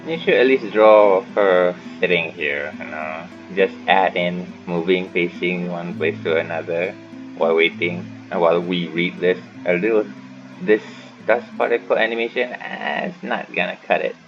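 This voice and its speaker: A man's voice: sits low, moderate pace. A man giving casual, rambling commentary as he plays through a game, often muttering to himself. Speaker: gaming streamer. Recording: slightly dull mic, game music behind.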